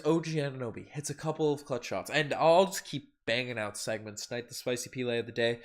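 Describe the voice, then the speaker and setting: A man with a head cold talks through a live post-game reaction, his voice audibly stuffed up. He rambles in a fan's casual way, restarting phrases often, and his volume swings between loud bursts and quiet stretches. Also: medium pitch. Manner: rambling fan talk with many restarts, swings loud and soft. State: congested with a cold. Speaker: sports podcast host.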